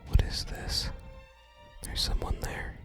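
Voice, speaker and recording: Whispering gamer with music behind. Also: takes it slowly. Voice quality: whispered, unvoiced. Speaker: video game streamer. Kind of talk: whispered ASMR game playthrough, reading dialogue aloud. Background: game music.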